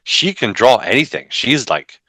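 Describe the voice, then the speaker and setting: A man in his forties co-hosting a comics podcast from home over a video call, animated with joking asides. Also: mid-range voice, normal speed, dry recording, low-quality recording. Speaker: podcast co-host. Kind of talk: casual chat about comics news.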